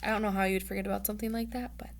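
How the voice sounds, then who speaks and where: A woman reads a children's story aloud in the manner of a teacher addressing students. Her delivery is expressive, with a playful, casual touch. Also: mid-range voice, rapid-fire, a little hiss or hum.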